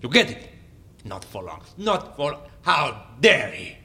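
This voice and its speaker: An actor playing an older, hot-tempered president and dictator in an audio drama, pompous and imperious, with a Latin American Spanish accent. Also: male voice, mid-range voice, very animated, slow, creaky, pushed and bright, full character voice. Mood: indignant, boastful.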